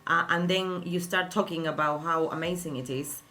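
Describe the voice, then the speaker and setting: Chatty woman podcast host, lowish voice. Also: steady tone, moderate pace, soft background music. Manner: chatty, warm-hearted encouragement, filler-heavy. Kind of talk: casual interview.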